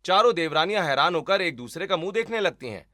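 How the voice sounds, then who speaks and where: Bright-voiced male story narrator. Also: high-pitched, animated, fast. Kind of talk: scripted cartoon story narration.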